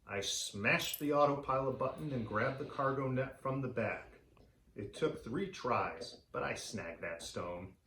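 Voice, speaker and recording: Male storyteller for kids' read-aloud, mid-pitched, theatrical and expressive, recorded in a roomy echo. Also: a little slow, slight background noise. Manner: animated, theatrical delivery for young listeners. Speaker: storyteller reading a picture book to children.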